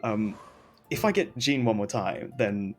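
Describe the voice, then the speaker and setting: A man's voice: fairly low pitch, expressive, a little slow, faint music behind. A man chatting casually as he streams a game, rambling and often interrupting himself, with some noise on the recording. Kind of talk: casual gaming stream chat.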